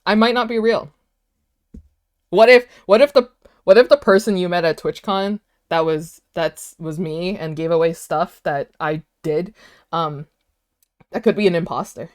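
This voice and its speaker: Animated, chatty woman streamer. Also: fairly low pitch, a little slow. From United States. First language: Vietnamese. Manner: animated, chatty, laughs often, many "like" fillers. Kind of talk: casual livestream chat, reading chat aloud.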